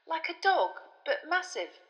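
A woman voicing a nervous teenage boy wizard who answers quickly and guardedly. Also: very high voice, very animated, fairly bright tone, pitched above the performer's own voice, heavily acted.

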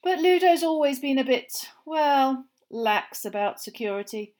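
A woman voicing a cheerful, easily flustered middle-aged wizard father, high and loud, stumbling over words. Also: animated, unhurried. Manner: eager, nervous, fumbling with words.

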